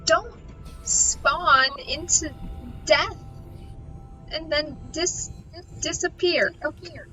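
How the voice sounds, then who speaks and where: A woman's voice: very slow, pauses often, soft background music. A woman chatting casually with friends on a gaming stream, playful and teasing with a wry manner. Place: voice chat on a game stream. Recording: noisy voice chat, steady rumbling hiss.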